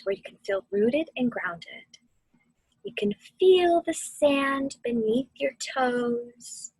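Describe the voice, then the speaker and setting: Slow, breathy woman guiding a meditation. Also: on the high side, no echo, rough recording. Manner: soothing, gentle, deliberate, often dropping to a near-whisper. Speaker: mindfulness instructor and host of a weekly video series. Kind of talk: guided meditation for children.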